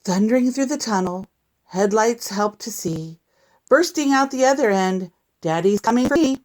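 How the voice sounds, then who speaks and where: A woman reading a picture book aloud to young children in an expressive, deliberate, teacherly way. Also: on the high side. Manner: deliberate, animated reading with sound effects and asides to listeners.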